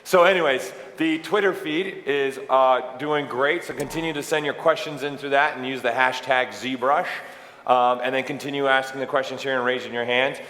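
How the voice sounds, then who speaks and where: Energetic man hosting in an echoey hall. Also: mid-range voice, moderate pace. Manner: joking, energetic, chatty. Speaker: event host. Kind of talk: live conference announcements. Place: large event hall with audience. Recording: echoey hall, faint room noise.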